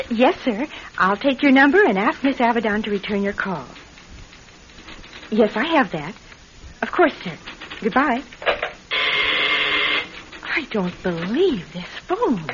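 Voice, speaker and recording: A young, eager secretary in an old-style radio drama. Her voice is on the high side, polite and apologetic, tripping over itself when flustered. The recording is muffled, with light noise underneath.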